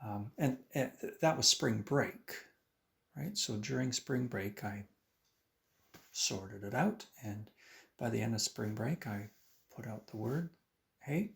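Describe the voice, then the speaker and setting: Male violin teacher, interview talk, gravelly edge, swinging pitch. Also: slow, pauses often. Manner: animated, acts out his own past remarks, frequent restarts.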